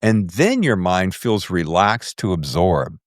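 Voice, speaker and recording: A low-pitched man hosts a podcast interview in casual, emphatic talk. His intonation swings widely as he builds his questions, and the recording is close and dry. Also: takes it slowly, dry recording. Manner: expressive, emphatic, acts out imagined quotes.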